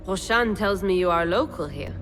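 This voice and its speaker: An actress playing a blunt, sharp-tongued woman assassin in a game, with a scornful edge. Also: on the low side, animated, moderate pace, soft background music.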